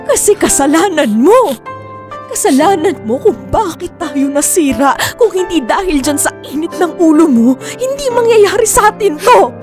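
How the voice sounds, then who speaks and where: A fed-up wife and mother in a radio drama, defiant and scolding. Her high voice moves in big, emotional sweeps. Music plays behind her emphatic lines. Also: expressive, normal speed. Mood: angry, accusing.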